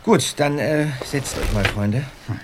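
An actor playing an easygoing, bookish teenage boy detective in an audio drama, with a halting, wondering delivery. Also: mid-range voice, background noise, close to an everyday voice. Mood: reading aloud. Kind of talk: German audio drama acting.

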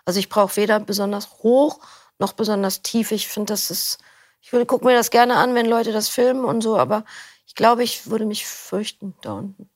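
A woman's voice: medium pitch, normal speed, no echo, studio quality. A middle-aged woman answering interview questions in a thoughtful, rambling way, often restarting her sentences. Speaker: interview guest, audiobook narrator.

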